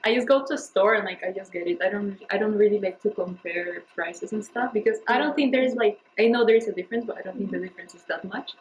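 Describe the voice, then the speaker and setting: A woman's voice: medium pitch, fast talker, few pauses, slight background noise, poor audio. A woman in her twenties from Peru, a student, answering interview questions hesitantly with fillers. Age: 20s.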